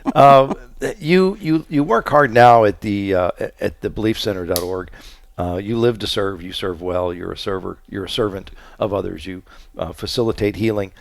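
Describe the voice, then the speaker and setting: A man hosting a casual interview, speaking haltingly and affirmingly as he rephrases what he hears. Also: on the low side, gravelly, no room sound. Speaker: interview host.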